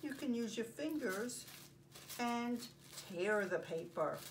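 An older woman presenting story time for young children, speaking in simple, deliberate phrases with pauses as she reads and demonstrates. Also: fairly high pitch, expressive. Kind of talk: read-aloud and craft demonstration for young children. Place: carpeted home living room. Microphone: camera a few feet away. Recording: distant mic, faint room noise.

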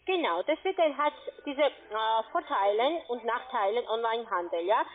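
High-voiced woman in broken German, over a phone. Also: lively intonation. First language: not German. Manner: halting, broken German, brief laughs. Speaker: scam call center agent. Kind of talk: phone sales pitch. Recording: tinny phone line.

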